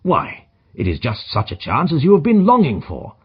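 Male narrator as earnest, easily amazed companion to a detective. Audiobook dialogue, animated, rough-edged voice. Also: mid-range voice, normal speed, lo-fi sound, voice pushed up, heavily acted. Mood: urgent, eager. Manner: earnest, exclamatory.

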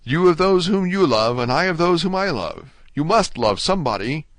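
A man's voice: mid-range voice, animated, normal speed, fairly bright tone, dry recording, close to an everyday voice. A man voicing a desperate, ardent young male prisoner in a storybook, pleading fervently. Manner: impassioned, fervent, exclamatory.

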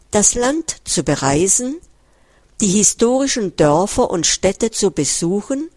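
A woman reading a first-person book text aloud in a measured, careful way, close to a studio mic. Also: mid-range voice, darkish tone, no room sound. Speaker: audiobook reader. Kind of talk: scripted first-person narration read aloud.

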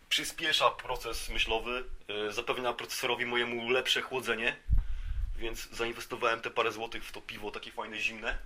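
Guy podcast host doing mock-serious rant, very bright forceful voice, bit raspy, mid pitch. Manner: mock-serious, rambling, with colloquial asides. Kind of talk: satirical monologue, casual.

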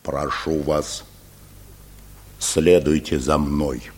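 An actor playing a grave, anxious manservant in a radio play, speaking in a halting, solemn manner. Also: male voice, rumbling low, level tone, a little mellow, breathy edge, full character voice. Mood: grave, subdued. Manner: slow, halting, solemn delivery. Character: grave, anxious manservant bringing news of death.

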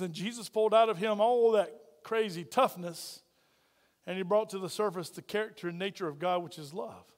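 A man preaching a sermon as pastor, loud and folksy, full of playful asides to the congregation. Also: high-pitched, in a large hall.

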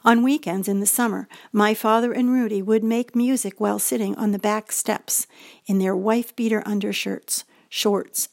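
An older American woman reading a scripted personal story, gentle and reflective, as a feature presenter. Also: mid-range voice, vocal fry, no echo, studio quality. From United States.

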